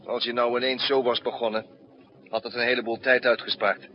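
An actor playing a gruff, unflappable middle-aged pilot in a 1960s Dutch radio drama, talking in dry, laconic grunts and short phrases. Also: male voice, mid-range voice, flat delivery, a little fast, natural voice. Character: gruff, unflappable veteran male airline pilot. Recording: muffled 1960s radio recording, noisy background.

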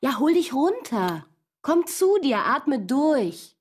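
Teasing, lively young friend. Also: female voice, high voice, slow, exaggerated voice. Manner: teasing, coaxing. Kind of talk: radio drama acting.

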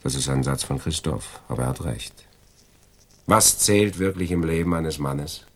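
A loyal criminal accomplice in a radio crime drama. His voice is extremely deep and husky, swinging expressively as he philosophizes in a worldly way. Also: lively intonation, normal speed, a bit bright, exaggerated voice. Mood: reflective. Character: loyal, philosophizing male crook, the caring accomplice.

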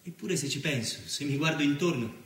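An actor playing an earnest, troubled young journalist in a solemn, reflective radio drama monologue. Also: male voice, a little high, a little fast, fairly bright tone, natural voice. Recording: echoey, distant, music behind.

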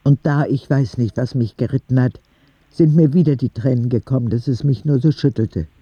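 A man's voice: mid-range voice, normal speed, warm tone, slightly rough, dry recording, muffled recording, natural voice. A man narrating an audio drama as a candid, vulnerable ten-year-old sick boy who confides in a naive, plain way. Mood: wistful, vulnerable.

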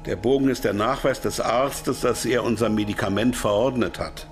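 An actor playing a slick, self-satisfied pharmaceutical sales manager in a radio crime drama, explaining things at length. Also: male voice, medium pitch, normal speed, lightly acted. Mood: anxious, compliant. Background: faint music behind the voice.

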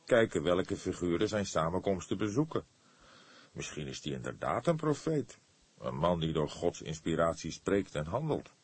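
A man voicing a patient, seasoned senior police detective in an audiobook, speaking in a measured, earnest way. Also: sits low, very animated, husky, no room sound, heavily acted. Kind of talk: audiobook character dialogue.

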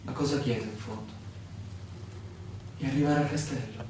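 A man's voice: medium pitch, fairly even intonation, moderate pace, darkish tone, close to an everyday voice. Echoey, distant, weary man acted pleading for answers. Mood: weary, disillusioned. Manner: theatrical, searching, full of questions. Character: weary, bewildered male land surveyor pleading for answers. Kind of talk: Italian stage-style radio drama acting. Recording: very echoey, distant, clearly noisy room recording.

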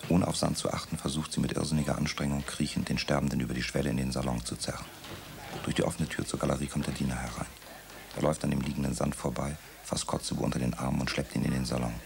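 A man narrating a radio drama in a calm, measured way. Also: extremely low pitch. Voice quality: gravelly.

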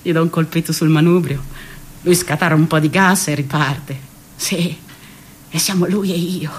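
A woman playing a restless, defiant, jobless thirty-year-old scooter rider in a radio theatre monologue, halting and agitated, with a husky voice. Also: low voice, fairly even intonation. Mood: tense, wry. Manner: halting, repetitive, agitated, swinging between murmurs and outcries.

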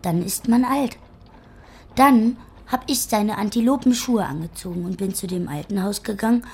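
A childlike, simple-minded female camp inmate in an audio drama. Her voice sits at an ordinary female pitch and is a little husky. She puts things in short, plain, dreamily matter-of-fact statements. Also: normal speed, a little mellow. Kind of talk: literary audio drama dialogue.

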